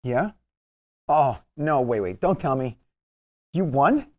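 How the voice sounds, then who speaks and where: Man acting an anxious, very animated young nephew. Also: medium pitch, takes it slowly. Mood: curious. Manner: nervous, exclaiming, disbelieving. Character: anxious, incredulous young nephew, reluctant sidekick. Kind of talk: comedy script table read.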